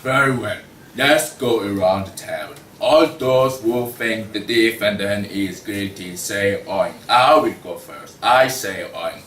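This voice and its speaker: A young man in a student table read playing a stern, businesslike pig foreman of a jury, deliberate and firm. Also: lowish voice, fairly bright tone. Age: young adult. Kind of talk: student table read of a comic courtroom play. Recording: distant, echoey room with faint noise.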